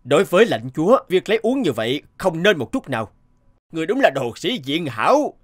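An actor playing a stern, righteous demon in human guise in a cartoon folk tale, speaking in a solemn, admonishing way. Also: male voice, a little high, sing-song, a little fast, dry recording, studio quality. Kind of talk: animated folk tale dub acting. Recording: music under the voice.

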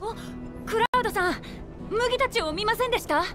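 A polite, worried caretaker. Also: female voice, very high voice, animated, a little fast. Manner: polite, anxious. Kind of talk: game cutscene voice acting. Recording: loud background game music.

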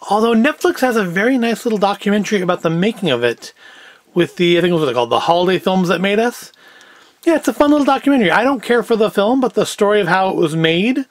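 A man's voice: high-pitched, no room sound. A middle-aged man giving a casual, unscripted product review as host, with wry, sarcastic asides. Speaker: host of a food review show.

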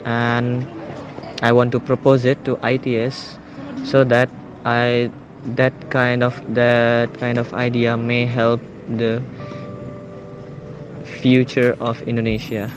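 A man, a master's student, answering in halting English with many restarts. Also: medium pitch, completely flat delivery. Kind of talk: interview answer. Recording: dull audio with street noise.

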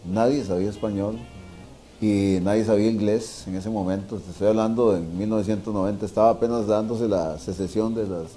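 A man's voice: fairly low pitch, steady tone, a little fast, warm tone, soft background music. A man in his sixties with a Costa Rican accent, telling anecdotes casually, repeating phrases for emphasis and checking with tag questions. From Costa Rica. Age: 60s. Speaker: interview guest, veteran sports journalist. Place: wine bar dining room, indoors.

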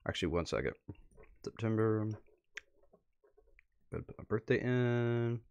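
A man with a fairly low voice hosts a livestream in a casual, chatty way. He talks as if to viewers and to himself, dropping muttered asides as he works through what is on his computer. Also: measured pace, a little mellow, clear, resonant tone, muffled recording. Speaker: livestream host. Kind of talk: casual livestream commentary, reading posts aloud. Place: indoors at a computer.

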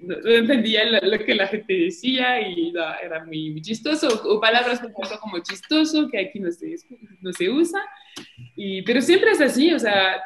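A woman's voice: mid-range voice, expressive, moderate pace, echoey room, a little hiss or hum. A woman from France with a French accent, a native French speaker, talking chattily as an interview guest over a video call, often restarting her phrases. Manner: chatty, hesitant with many restarts, laughs often. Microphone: laptop mic on a video call.

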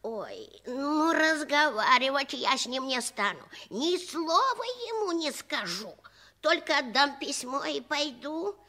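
A woman's voice: high voice, sing-song, slow, bright, forceful tone, heavily acted. An actress playing a kindly, grumbling old woman in a radio play, sighing and muttering as she draws out her words. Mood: huffy. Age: older. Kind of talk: 1960s Soviet radio play acting.

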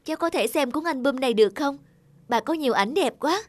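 Caring young nurse, high-voiced. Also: female voice, lively intonation, a little fast, no echo. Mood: sympathetic. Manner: polite, caring. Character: kind young female nurse, caring and earnest. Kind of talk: animated moral tale dialogue. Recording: music behind the voice.

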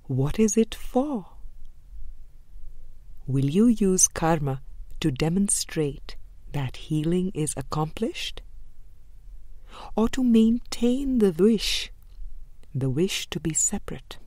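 A woman reading a spiritual book aloud in a measured, deliberate way, pausing between short phrases. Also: lowish voice, animated, warm tone, no echo. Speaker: audiobook reader.

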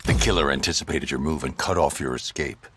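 An actor playing a grim, brooding vigilante detective in a video game, speaking in a terse, grave, deliberate way. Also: male voice, very deep voice, heavy background noise. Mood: grim analysis.

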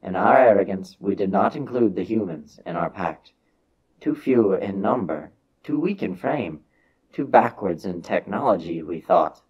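Husky, low-voiced man narrating dramatically. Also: expressive, highs cut off. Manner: solemn, measured, theatrical delivery. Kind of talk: dramatic reading of a science fiction story.

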